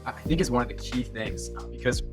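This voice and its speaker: A young man chatting as a guest on a podcast, in a voice of ordinary pitch. He rambles and circles back, restarting his sentences as he goes, in an easy conversational way. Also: fast, music in the background. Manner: rambling, restarts phrases often.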